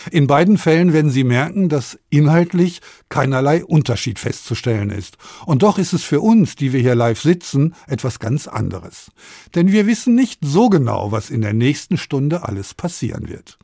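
A man's voice: fairly high pitch, very animated, normal speed, creaky, warm tone, no echo. A man presenting a radio talk programme from a studio, moving between scripted moderation and interview questions. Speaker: radio host.

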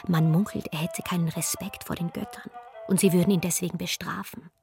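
A woman's voice: lowish voice, steady tone, a little fast, creaky, over music. An actress narrating a radio play as an archaeologist telling the story of an ancient king. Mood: measured.

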